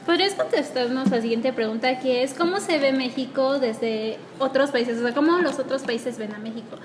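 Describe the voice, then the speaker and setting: A young woman interviewer asks polite, scripted questions. Her voice is high and a faint noise sits behind her. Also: moderate pace. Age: young adult. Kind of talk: student video interview.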